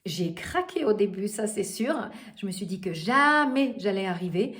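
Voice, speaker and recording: A woman's voice: medium pitch, lively intonation, moderate pace, fairly bright tone. A middle-aged woman life coach giving a motivational coaching talk, earnest and hesitant, with many fillers and restarts. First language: not French.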